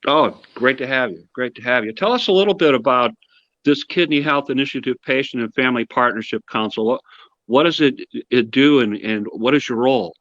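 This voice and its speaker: Host, man, announcer-style energy, mid pitch, dull dry audio. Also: a little fast, fairly bright tone, dry recording. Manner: enthusiastic announcer-style intro. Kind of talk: live show introduction and interview.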